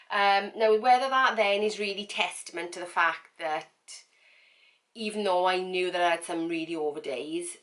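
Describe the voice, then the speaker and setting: Woman vlogging, a bit croaky today, lowish voice, rambles and repeats herself. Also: a bit bright. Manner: chatty, frank, with many fillers and repeats. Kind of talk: casual talk to camera.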